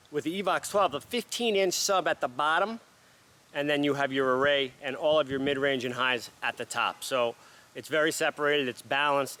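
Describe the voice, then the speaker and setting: A man's voice: on the high side, a little hiss or hum. A man casually reviewing DJ sound gear, chatty and upbeat with plenty of fillers, during a sound check. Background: loud music playing.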